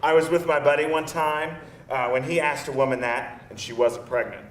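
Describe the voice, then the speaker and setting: Easygoing, blunt boyfriend played by an actor, mid-pitched casual banter, off-mic in an echoey room. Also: male voice, fairly even intonation, moderate pace, recorded from a distance, noisy background, natural voice. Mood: casual, amused. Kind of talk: conversational screenplay table read. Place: live table read in a roomy indoor space.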